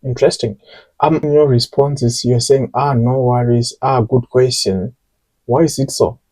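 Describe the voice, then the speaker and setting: A male podcast host with a mellow, slightly husky voice. He asks casual questions in a hesitant way, full of fillers and restarts. The recording is dry. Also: mid-range voice, steady tone, a little slow, no echo, poor audio. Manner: hesitant, with fillers and repeated words.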